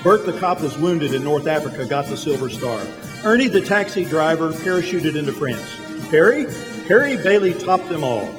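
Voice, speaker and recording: An authoritative male heavenly narrator played by an actor tells a story in radio drama style. His voice sits a little high, with clear music underneath and the long reverb of a hall. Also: very animated, normal speed. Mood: storytelling, solemn. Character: authoritative male heavenly senior angel recounting a life. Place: large church hall. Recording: echoey hall, music behind.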